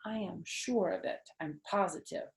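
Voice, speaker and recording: A woman voicing an excitable, sassy first-grade girl in a children's storybook, with emphatic delivery and childish grammar. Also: on the low side, moderate pace, roomy sound, close to an everyday voice. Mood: confident, eager. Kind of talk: children's storybook read-aloud.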